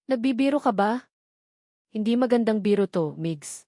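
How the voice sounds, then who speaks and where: A woman voicing a distraught young nurse in a story, pleading in a desperate, halting manner. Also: fairly high pitch, expressive, warm tone, no echo, studio quality. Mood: shocked, disbelieving. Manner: stammering, desperate.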